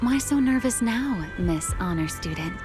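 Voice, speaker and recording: A woman's voice: on the high side, lively intonation, a little slow. A woman playing a composed, teasing young woman fighter with a hidden past, in theatrical video game acting. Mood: teasing calm. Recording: music under the voice.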